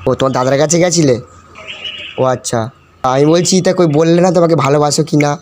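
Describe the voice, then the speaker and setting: Young man, slightly high rapid voice, teasing and insistent, noisy amateur audio. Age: young adult.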